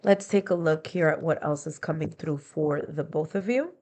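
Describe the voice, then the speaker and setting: A woman's voice: deep voice, normal speed, darkish tone. A woman with a congested, stuffy nasal voice gives a conversational tarot and astrology reading, explaining each point with strings of near-synonyms. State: stuffy, congested from being under the weather. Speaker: tarot reader.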